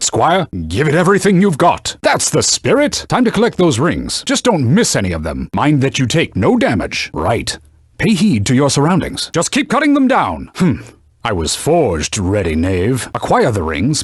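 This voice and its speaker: A man's voice: mid-range voice, husky, dry recording, studio quality. A man voicing a haughty magic sword in a video game, mentoring a young knight with pompous, scolding, archaic knightly speech. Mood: brisk, urging, then instructing.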